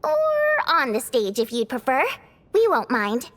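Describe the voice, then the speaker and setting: A woman's voice: a little high, big pitch swings, a little slow, a bit bright. An actress playing a polite, dutiful female theater hostess in a video game, speaking in a formal announcing manner in a reverberant hall. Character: courteous, dutiful theater hostess addressing stranded guests.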